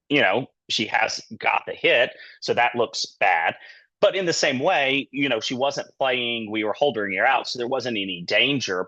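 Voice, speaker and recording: Bright-voiced man lecturing informally, dull audio. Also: on the low side, dry recording. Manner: conversational, loosely phrased, peppered with "you know" and "kinda". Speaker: sports medicine physician giving a guest lecture. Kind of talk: informal lecture with anecdotes and career advice.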